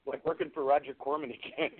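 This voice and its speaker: A man's voice: a little high, husky. Chatty man on a lo-fi call. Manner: chatty, rambling, amused anecdotes. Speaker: guest, movie special effects and prop maker. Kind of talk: casual interview storytelling. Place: remote call into a radio show. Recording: lo-fi, phone-like internet radio call.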